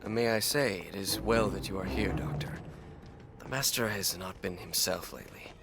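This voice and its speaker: A man's voice: low-pitched, normal speed, music in the background. A man playing a worried household butler with a formal, polite, servile way of speaking. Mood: polite, concerned.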